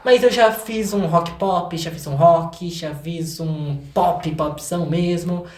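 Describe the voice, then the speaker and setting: A man's voice: fairly high pitch, takes it slowly. A young Brazilian man chatting casually and playfully as an interview guest, with an informal, self-deprecating way of talking. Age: young adult. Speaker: singer-songwriter guest. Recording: echoey, roomy sound.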